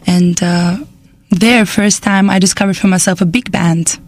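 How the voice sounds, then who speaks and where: A young woman from Estonia speaking English with an Estonian accent, a jazz singer talking as a radio guest, pausing often to search for words and laughing often. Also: fairly low pitch, steady tone, dry recording. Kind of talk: casual radio interview.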